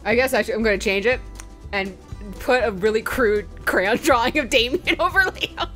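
Bright, high-voiced woman recapping a game. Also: normal speed, soft background music. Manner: chatty, sweary, with playful mock voices for quotes. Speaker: let's play host. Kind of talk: casual, joking scripted-game recap narration.

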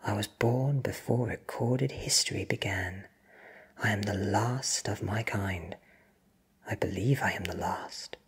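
A performer voicing an ancient, powerful vampire who speaks with cold, deliberate menace. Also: low-pitched, husky, exaggerated voice.

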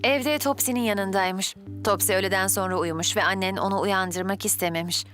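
A woman plays a helpful school secretary in a children's cartoon. Her medium-pitched voice is reassuring and everyday, with faint music behind. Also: steady tone, a little fast, a bit bright, lightly acted.

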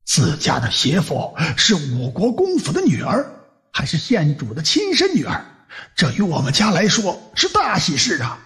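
A man playing an excitable, middle-aged county magistrate in an audiobook drama, blustering and exclaiming in a raspy voice. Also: fairly high pitch, moderate pace, exaggerated voice. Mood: gleeful. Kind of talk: Chinese audiobook drama acting.

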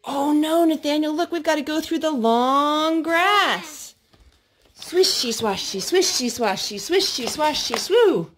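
High-voiced mom, slow storytime delivery. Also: female voice, slight background noise. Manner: sing-song, expressive, encouraging a small child. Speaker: mother hosting a children's storytime. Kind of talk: child-directed storytelling and chat. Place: carpeted room and wood-floored room at home.

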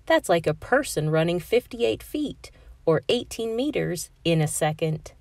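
A woman storyteller narrating a picture book for young children. She takes her time, phrasing carefully with an animated, melodic delivery. The sound is close and dry. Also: lowish voice, a little slow, creaky, no echo. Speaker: read-aloud storyteller.

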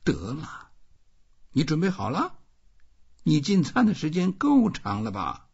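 A man voicing a stubborn old fisherman who talks to himself and coaxes a fish in an audiobook, with a husky voice. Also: on the high side, wildly swooping pitch, a little slow, darkish tone, dull audio, pitched above the performer's own voice, heavily acted. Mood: urgent, fierce. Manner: emphatic, coaxing, talking to himself.

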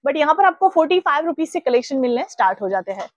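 Woman in her 30s, high voice, persuasive sales talk, lists wares one after another in a clothing store. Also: sing-song, fast talker, no room sound. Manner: persuasive, listing wares steadily. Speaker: shop representative. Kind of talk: sales pitch on a shop tour. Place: large indoor clothing store.

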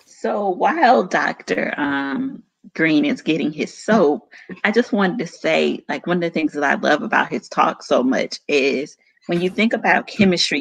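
A woman's voice: low voice, vocal fry, a bit bright, dry recording, poor audio. A woman hosting an online event, talking in an enthusiastic, conversational way. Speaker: event host. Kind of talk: hosting a video call. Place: home room. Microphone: video call.

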